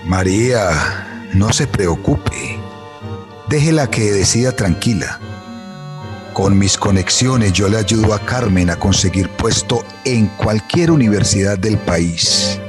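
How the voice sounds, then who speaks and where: A man's voice: lowish voice, expressive, takes it slowly, over music. An actor playing a self-assured, reassuring and influential man in a radio drama. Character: smooth, influential, reassuring male patron.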